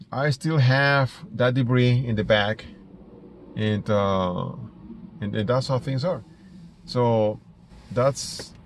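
A middle-aged man from Ecuador with an Ecuadorian Spanish accent, telling stories casually to camera in a halting way, repeating words as he goes. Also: fairly low pitch. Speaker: small business owner vlogger. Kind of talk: casual personal storytelling to camera. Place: inside a parked car.

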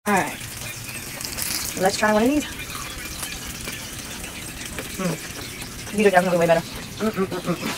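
A chatty young woman talks her way through a recipe in a home kitchen, recorded on a phone. Clear kitchen noise and sizzling sit under her voice. Her pitch sits in an everyday middle range. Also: brisk. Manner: chatty, talks to camera while cooking. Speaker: cooking vlogger. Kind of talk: casual cooking narration. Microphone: phone held or propped near stove.

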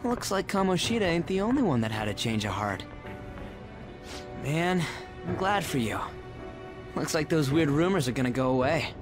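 An actor playing a brash, good-hearted teenage delinquent boy with a rough, slangy delivery in a video game. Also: a little high, sing-song, moderate pace, long pauses. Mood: relieved, brash. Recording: music behind the voice.

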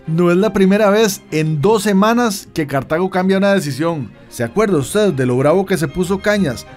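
Anxious, high-voiced colonial townsman. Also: expressive, moderate pace, a little mellow, soft background music. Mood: cautious. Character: cautious, anxious male colonial townsman, deferential friend. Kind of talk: radio drama acting.

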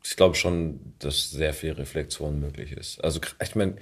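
Man, podcast host, deep, husky, laid-back, conversational. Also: steady tone, normal speed, a bit bright. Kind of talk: casual chat.

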